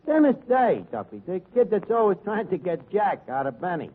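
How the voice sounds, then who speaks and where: An actor playing a brash, scheming tavern manager in a 1940s radio comedy, with a hesitant, wisecracking telephone drawl. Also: male voice, high voice, sing-song, normal speed. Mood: breezy, wisecracking. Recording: dull, noisy vintage radio recording.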